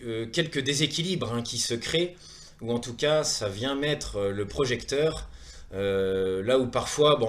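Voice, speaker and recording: A man in his thirties with an accent from France gives a semi-prepared presentation in an earnest, hesitant way, often pausing and repeating words. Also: mid-range voice, a bit bright. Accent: French from France. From France, Saumur in Maine-et-Loire. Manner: earnest, hesitant, with frequent pauses and word repetitions. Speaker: presenter of a wellness training programme.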